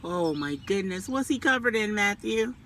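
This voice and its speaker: A woman storyteller reading a picture book aloud to children and pausing to ask them questions. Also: mid-range voice, animated, a bit bright, a little hiss or hum.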